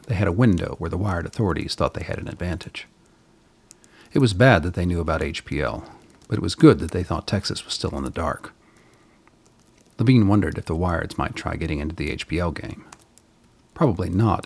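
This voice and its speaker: A man narrating a scripted radio drama in a measured, literary manner, with a gravelly, husky voice. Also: sits low.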